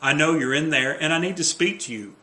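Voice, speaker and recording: A brisk policewoman character from a stage comedy, read by a man. The tone is official and insistent, bright, with noticeable room echo. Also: a little high, pitched above the performer's own voice. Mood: firm, official.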